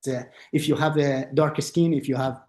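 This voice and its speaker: Halting male architect in a roomy space. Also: fairly high pitch, steady tone, warm tone. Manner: halting non-native English, many restarts, acts out quoted dialogue. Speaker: architect and interview guest. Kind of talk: conversational interview. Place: lives in Austria.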